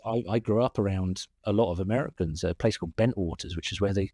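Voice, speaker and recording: Chatty British man, low and dry. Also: fast, dark tone, dry recording. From England. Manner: rambling, self-deprecating, laughs often. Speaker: guest, SEO consultant. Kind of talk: conversational interview answers.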